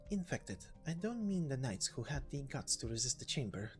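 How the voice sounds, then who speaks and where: A woman voicing a young female assassin with a conscience, musing quietly and hesitantly in a game story. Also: very deep voice, sing-song, moderate pace, faint music behind. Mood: pensive, doubtful.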